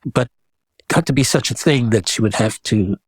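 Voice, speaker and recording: A man's voice: medium pitch, normal speed, slightly rough, dry recording. A man, speaking reflectively and casually as an artist being interviewed. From Guyana. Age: 70s. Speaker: guest, visual artist.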